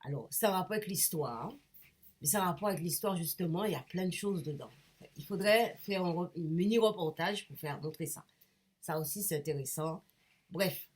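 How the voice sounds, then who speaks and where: A woman's voice: lowish voice, brisk, darkish tone, slightly rough. A woman with a cold talks to her viewers in a rambling, casual way. She hesitates often, restarts her sentences and searches for words. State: has a cold. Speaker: painter and vlogger. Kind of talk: rambling casual talk to camera.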